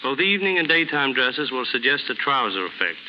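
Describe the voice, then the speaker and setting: A man's voice: medium pitch, big pitch swings, fairly quick. A man giving a formal, scripted guest talk on 1930s radio. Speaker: guest stylist. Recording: noisy 1930s radio recording.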